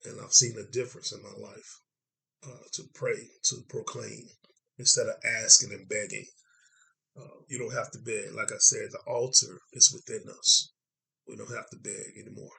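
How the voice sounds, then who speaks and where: A man from Dallas, Texas, hosts a relaxed podcast conversation in a hoarse, gravelly voice with a bright, forward edge. He speaks reflectively, pausing often to find his words. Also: lowish voice, low-quality recording. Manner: reflective, searching for words with frequent pauses. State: hoarse from congestion. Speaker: podcast host. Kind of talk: casual conversation.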